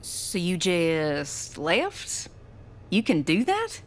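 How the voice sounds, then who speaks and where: A woman's voice: fairly low pitch, wildly swooping pitch, extremely slow, exaggerated voice. A woman playing a timid, curious small-town diner waitress in an audio drama, hesitant and unsure.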